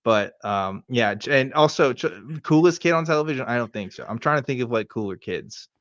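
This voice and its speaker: A middle-aged American man with a mid-pitched voice hosts a casual commentary show. He reads aloud and riffs with sardonic, profane remarks. The recording is very dry and a little dull. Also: lively intonation, brisk, dry recording. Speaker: podcast host.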